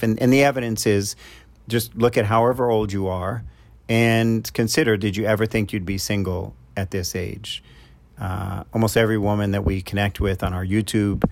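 A middle-aged man with a New York accent, speaking as a love coach to his podcast audience. His voice sits on the low side, and he talks in a loose, conversational way with frequent fillers and restarts. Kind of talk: advice monologue to a podcast audience.

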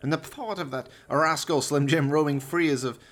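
A man's voice: fairly high pitch, moderate pace, pitched above the performer's own voice, lightly acted. A man playing an evil, stressed mad scientist in a radio play, speaking in grand, formal, theatrical diction. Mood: haughty, scornful.

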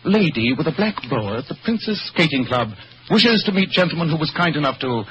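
A sardonic English detective, fairly high-voiced, with sweeping intonation and dry, precise superiority. It is old radio drama on a dull, noisy recording. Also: male voice, very animated, brisk. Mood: bored, disdainful. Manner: precise, theatrical, dryly superior. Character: brilliant, bored, sardonic English gentleman detective.

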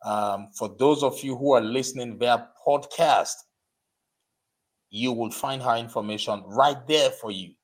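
A man hosting a live podcast interview, urging his listeners in an emphatic, exhorting way and spelling out names slowly. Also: mid-range voice, husky. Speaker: podcast host. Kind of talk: motivational interview show.

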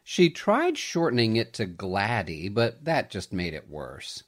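A man reading a scripted Christmas story aloud in an expressive storytelling manner. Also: fairly low pitch, unhurried. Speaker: storyteller, podcast host.

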